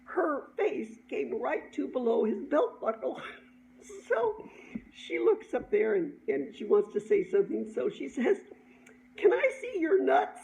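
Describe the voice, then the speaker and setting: A middle-aged woman telling an informal personal story in an animated, chuckling way, as an author sharing her own work. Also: on the high side, measured pace. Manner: animated, chuckling, acts out dialogue. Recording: dull lo-fi sound, clear background noise.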